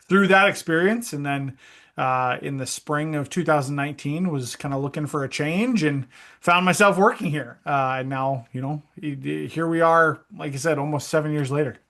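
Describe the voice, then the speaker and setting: Man, upper midwest accent, slightly higher voice, relaxed, friendly, casual interview guest. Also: moderate pace. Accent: Upper Midwest American. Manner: relaxed, friendly, frequent ums and uhs. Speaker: guest, esports director.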